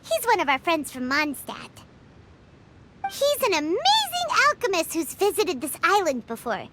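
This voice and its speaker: A woman voicing a tiny, excitable floating fairy companion in a video game, bouncy and a little whiny. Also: extremely high pitch, measured pace, heavy background noise, full character voice. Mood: explaining.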